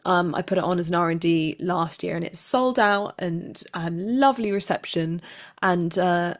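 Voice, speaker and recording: A woman with a fairly low voice talks as a guest in a casual interview. She is playful and self-deprecating, speaking as an actor and writer in easy conversation. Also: moderate pace.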